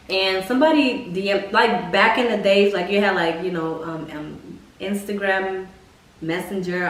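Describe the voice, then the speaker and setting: Young woman storyteller in an echoey room. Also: medium pitch. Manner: blunt, expressive, heavy swearing and slang. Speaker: vlogger telling personal dating stories. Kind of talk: casual storytelling to camera. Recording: echoey room, mic at a distance.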